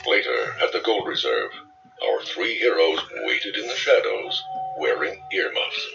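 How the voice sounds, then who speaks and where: Very animated male children's story narrator. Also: low-pitched, measured pace, gravelly. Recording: dull distant lo-fi audio, music behind.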